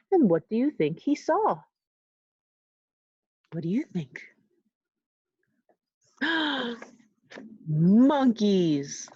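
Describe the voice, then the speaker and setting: Animated woman reading a children's storybook. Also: on the low side, breathy edge, no room sound, muffled recording. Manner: animated, asks listeners simple questions and adds asides. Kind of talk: expressive read-aloud storytelling for young children.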